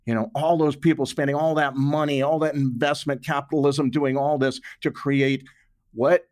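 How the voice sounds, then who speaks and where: Halting man explaining his ideas. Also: medium pitch, little pitch movement, darkish tone. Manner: halting, repeats and restarts phrases while reasoning aloud. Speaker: interview guest, author and journalist. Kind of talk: unscripted explanatory interview talk.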